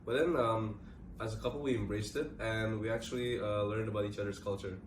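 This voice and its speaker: A young Indonesian man talks quickly and casually in a panel chat, dropping in little fillers as he goes. He sounds set back from the mic in an echoing room, with clear background noise around him. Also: on the low side, steady tone. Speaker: co-host. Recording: roomy echo, distant mic, audible room noise.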